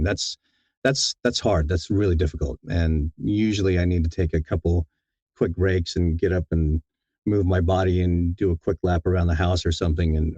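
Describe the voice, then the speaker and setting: A man's voice: low-pitched, dark tone, breathy edge, dry recording, lo-fi sound. A man being interviewed as an audiobook narrator, talking casually and thoughtfully, often repeating words as he feels his way along.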